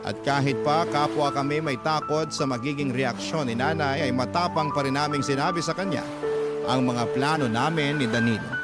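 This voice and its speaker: A man's voice: mid-range voice, moderate pace, natural voice. A man voicing the letter of a grieving young woman in a radio drama, confiding and earnest, over a music bed. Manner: earnest, confiding narration addressed to the host.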